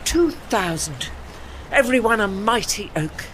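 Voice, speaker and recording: Man playing a lofty, high-voiced old warship. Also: expressive, measured pace, fairly bright tone, a little hiss or hum, poor audio. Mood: proud, instructive. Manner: formal, dry, faintly pompous diction. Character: proud ageing old warship, refined, educated and witty. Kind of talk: audio play acting.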